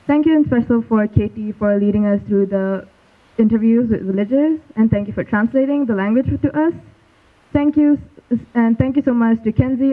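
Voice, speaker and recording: A young woman from Malaysia presenting a school project earnestly and steadily. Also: mid-range voice. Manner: earnest, steady recitation from notes. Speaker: student presenter. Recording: tinny phone-like sound.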